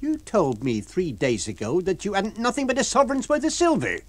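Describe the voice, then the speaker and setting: A man's voice: sits high, huge pitch swings, brisk, no echo, pitched above the performer's own voice, exaggerated voice. A man reading a story and voicing a middle-aged country landlady who scolds indignantly in rustic dialect.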